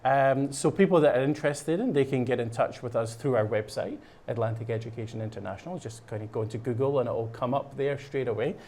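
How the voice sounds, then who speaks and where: A man's voice: medium pitch, quick pace, few pauses, dark tone, a little hiss or hum. Scottish man chatting with many fillers. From Scotland. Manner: rambling, many fillers and restarts. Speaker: guest. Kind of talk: casual chat. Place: studio while painting.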